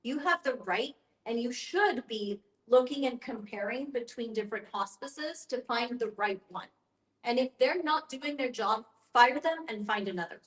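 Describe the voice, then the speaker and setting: Echoey, higher-voiced woman guiding a discussion. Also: expressive, normal speed, fairly bright tone. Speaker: guest facilitator and end-of-life educator. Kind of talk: guiding a group discussion, explanatory. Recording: echoey room sound.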